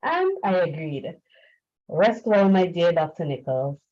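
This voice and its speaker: A woman giving a prepared, formal eulogy over a video call in an earnest, measured way. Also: lowish voice, expressive, a little mellow. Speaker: speaker at a memorial seminar. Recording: muffled video call audio.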